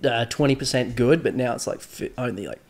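A man's voice: medium pitch, brisk, darkish tone. A young man with a head cold and a nasal voice, co-hosting a podcast in casual, jokey banter. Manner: jokey, self-mocking, trailing off. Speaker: podcast co-host.

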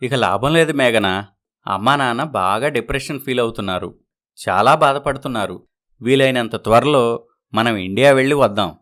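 A man playing a dutiful adult son in an animated moral story, speaking earnestly and pleadingly. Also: mid-range voice, brisk, close to an everyday voice. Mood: concerned. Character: dutiful adult son torn between wife and parents.

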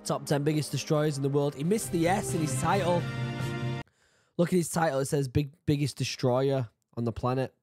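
A man's voice: fairly high pitch, moderate pace, dark tone, husky, dry recording, soft background music. A man in his thirties with a sore throat, chatting casually as he reacts to a video at home. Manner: chatty, jokey, laughs at himself. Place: home room with bookshelves. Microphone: studio mic on boom arm, headphones.

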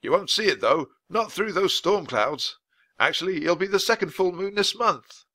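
A man voicing a tall, thin, polite older gentleman, speaking in a proper, old-fashioned way. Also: high voice, lively intonation, moderate pace, no room sound, pitched above the performer's own voice, exaggerated voice. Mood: formal.

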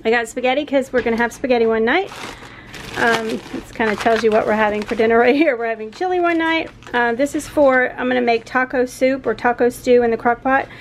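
Chatty Southern mom vlogging at home. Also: female voice, fairly high pitch, fairly quick, noisy background. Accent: Southern American. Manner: chatty, rambling, laughs often. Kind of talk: casual chat to camera. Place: home kitchen and backyard. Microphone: handheld camera close up.

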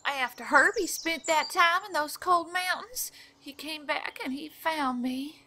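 Squeaky-high, sassy flirtatious mother. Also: a little slow, bright, forceful tone. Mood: flirtatious, smug.

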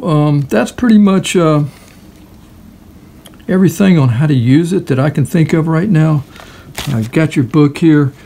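A man giving a casual, hands-on product walkthrough in a halting, hesitant way. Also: fairly high pitch, mellow, slight background noise. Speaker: product demonstrator.

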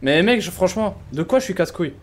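A man's voice: high voice, lively intonation, quick pace. A man streaming an online roleplay game, chatting casually in slang and protesting by repeating himself. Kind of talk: casual gaming chat with other players. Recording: background game noise. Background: video game ambience.

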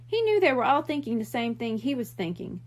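A woman reading a children's mystery book aloud in expressive storytelling narration. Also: fairly high pitch, fairly quick.

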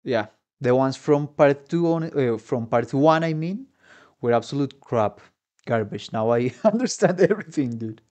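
A man's voice: medium pitch, lively intonation, normal speed, a little mellow. A man giving casual, exclamatory, profanity-laced reaction commentary. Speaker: musician reacting to game trailers. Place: small room at home. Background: game trailer music and voices.

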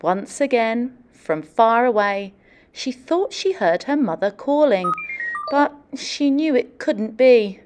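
Animated, slow-paced woman reading to children. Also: on the high side, a little hiss or hum. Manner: animated, deliberate, questioning and encouraging young children. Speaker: teacher or librarian reading to children. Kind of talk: expressive children's storytelling and classroom questioning.

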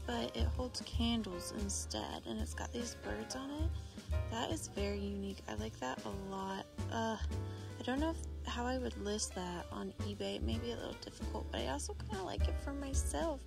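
Chatty high-voiced woman over store music. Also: creaky. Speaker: vlogger and antiques reseller. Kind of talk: casual shop-along narration to viewers. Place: large indoor antique mall. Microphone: handheld phone held near her. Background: loud store music.

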